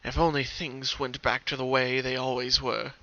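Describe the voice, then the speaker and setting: A man's voice: medium pitch, little pitch movement, moderate pace, sharp tone, no room sound. A man reading a story aloud as a dramatic scripted narration, on a lo-fi home recording. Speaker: fan fiction narrator.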